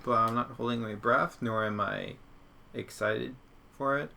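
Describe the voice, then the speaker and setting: A young man with a bright, forward voice that often creaks into fry. He talks casually as a podcast co-host and gives his opinions bluntly. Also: medium pitch, steady tone, measured pace, a little hiss or hum. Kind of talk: casual chat reviewing audio dramas.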